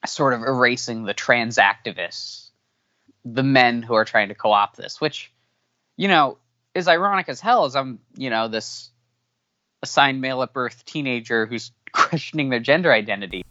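Guy talking english as a second language, stops and restarts a lot, kinda dull low-fi audio. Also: pushed and bright, dry recording. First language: not English. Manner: halting, many fillers and restarts. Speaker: podcast guest. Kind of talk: interview answers, candid.

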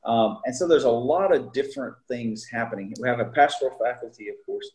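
Halting middle-aged man explaining. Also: mid-range voice, darkish tone, poor audio. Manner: halting, many fillers and restarts. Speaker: seminary teacher. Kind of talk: casual interview talk.

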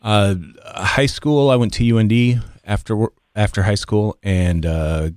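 A deep-voiced American man tells his own story as a podcast guest. He speaks in a measured, deliberate way with pauses, recorded dry and close. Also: darkish tone, clear, resonant tone, no echo. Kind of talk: personal storytelling in conversation.

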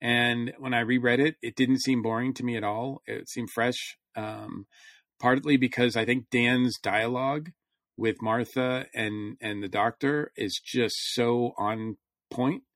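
A man's voice: mid-range voice, steady tone, unhurried, a bit bright, dry recording, clean studio sound. A man in casual, rambling conversation as a panelist on a review podcast.

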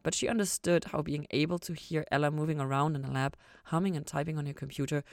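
A woman's voice: low voice, no echo, clean studio sound. A woman reading fan fiction aloud in audiobook-style narration.

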